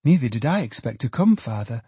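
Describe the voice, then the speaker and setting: A man's voice: medium pitch, very animated, brisk, mellow, breathy edge, no echo. A man voicing a cheerful young woman, an affectionate, teasing daughter in a storybook, with playful exclamations. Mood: gleeful, playful.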